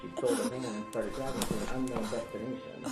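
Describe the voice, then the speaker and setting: A man playing a television newsreader in a video game delivers a scripted bulletin. His middle-pitched voice is formal and moves briskly, echoing in the room over clear background music. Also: fairly even intonation, lightly acted. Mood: formal, serious. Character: male television news anchor reading a crime report. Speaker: news anchor. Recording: roomy echo with music behind.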